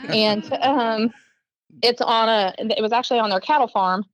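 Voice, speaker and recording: A woman with a Southern US accent, a guest in an interview, telling stories in a chatty, animated way, full of ums and acted-out quotes. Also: fairly high pitch, moderate pace, low-quality recording. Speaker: guest, competitive archer and teacher. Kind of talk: casual storytelling in an interview.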